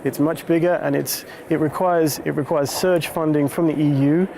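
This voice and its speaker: A man making a deliberate, explanatory argument as a panelist in a debate. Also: on the high side, fairly even intonation, gravelly, noisy background.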